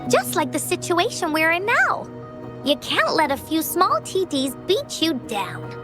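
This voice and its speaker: Bubbly lil girl game hero, super high n swoopy, takes her time, music behind. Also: huge pitch swings, a little slow, heavily acted. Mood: reassuring, brave. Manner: bubbly, childlike, speaks of self in third person. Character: cheerful, confident little girl adventurer, refers to herself by name. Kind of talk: anime-style video game voice acting.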